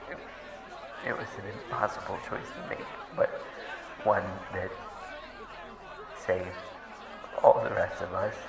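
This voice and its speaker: Shaken, halting speaker in echoing space. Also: male voice, mid-range voice, gravelly. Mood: grave, distressed. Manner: halting, stammering, emotional public address. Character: distressed young man rallying a crowd, pleading and earnest. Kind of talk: audio roleplay acting, impassioned speech. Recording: echoey open-air space with crowd.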